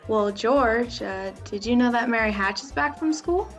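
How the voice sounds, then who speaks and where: A woman plays a loving, meddling middle-aged mother in 1940s radio drama style. Her voice is high, with a coaxing, knowing manner and music underneath. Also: animated, moderate pace.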